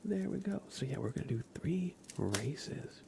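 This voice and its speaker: A man hosting an ASMR livestream, chatting casually with viewers in a soft, breathy half-whisper, sometimes drawing words out and repeating them. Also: a little high, sing-song, measured pace, noisy background. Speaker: livestream host.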